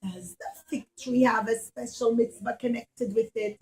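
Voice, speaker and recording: Puppet-show little girl played by a woman: high voice, inquisitive, eager, childlike. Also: moderate pace, a little mellow, slightly rough. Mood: curious. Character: curious young granddaughter, eager to ask and know.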